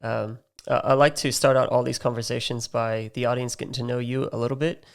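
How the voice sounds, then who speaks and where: An Australian man with a mid-range voice and a strongly gravelly edge, hosting a podcast interview. He talks in a friendly, loose way, with lots of fillers. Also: medium pitch, level tone, brisk. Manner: friendly, laughs easily, many fillers. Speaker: podcast host. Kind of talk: casual interview chat.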